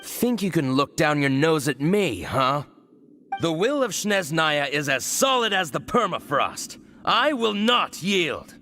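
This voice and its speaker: Haughty guard, animated, high-voiced. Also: male voice, a little slow, faint music behind, heavily acted. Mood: defiant. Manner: indignant, blustering. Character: defiant, haughty male enemy guard, suspicious and proud. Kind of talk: video game voice acting.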